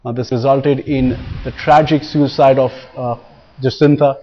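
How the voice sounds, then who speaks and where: A man's voice: mid-range voice, steady tone, moderate pace. A man anchoring a live news recap, explaining repetitively with frequent hesitations. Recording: dull, band-limited broadcast audio.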